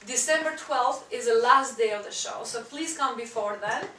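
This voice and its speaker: A woman interviewing a theater cast, gushing with praise and thanks. Her voice sits fairly high and sounds bright. She is heard from a distance in an echoey room. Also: moderate pace. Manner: effusive, warm praise and thanks. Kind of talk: enthusiastic interview and sign-off. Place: small theater venue indoors. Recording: echoey room from far off, noise.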